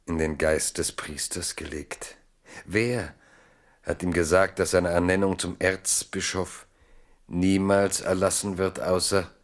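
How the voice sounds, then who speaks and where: Low male narrator for an old literary radio play, grave, deliberate, dramatic.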